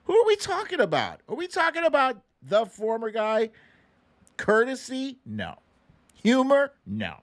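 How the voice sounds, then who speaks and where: A man delivering casual political commentary as a livestream host. His pace is unhurried but his pitch swings widely, and he sounds sarcastic and indignant, swearing freely. The recording is dry and close. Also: wildly swooping pitch, no room sound.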